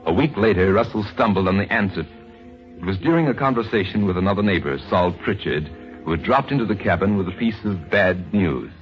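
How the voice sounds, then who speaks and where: Male vintage radio narrator, low, grave and theatrical, clear dramatic music behind, lo-fi recording. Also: lively intonation, a little fast. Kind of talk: old-time radio dramatic scripted narration.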